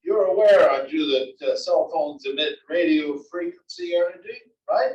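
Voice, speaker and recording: A man's voice: a little high, moderate pace, a little mellow, slight background noise, natural voice. A man playing a grumpy, fussy aging husband with a dry, clipped manner in a comedy table read. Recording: muffled, echoey room, distant mic.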